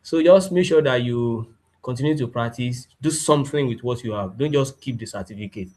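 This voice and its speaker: A man's voice: mid-range voice, fairly quick, a little mellow. A man giving informal congratulatory remarks as a course alumnus over a video call. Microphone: video call microphone. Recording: noisy online call.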